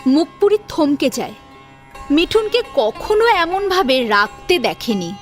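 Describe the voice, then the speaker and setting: A woman's voice: high-pitched, very animated, a little slow, soft background music. A woman narrating a scripted story in a dramatic storytelling style.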